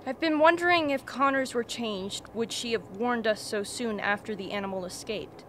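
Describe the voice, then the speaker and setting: A woman playing a calm, thoughtful, dry expedition member in a radio play, speaking in a measured way. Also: a little high, lightly acted. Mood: pondering. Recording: faint background noise.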